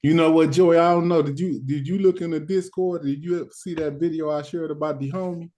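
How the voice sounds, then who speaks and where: A man's voice: a little high, steady tone, fairly quick, warm tone. A man hosting casual group chat on a stream, talkative and laid-back.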